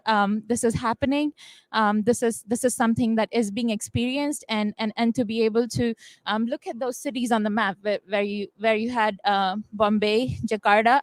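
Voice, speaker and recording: A woman's voice: on the high side, no room sound. A young woman from India speaking on a panel, hesitant, with many ums and uhs. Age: young adult. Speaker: youth panelist. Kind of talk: panel dialogue.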